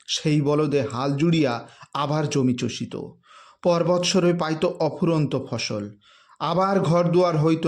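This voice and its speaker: A man narrating a literary story in an expressive, dramatic way with formal diction. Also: fairly high pitch.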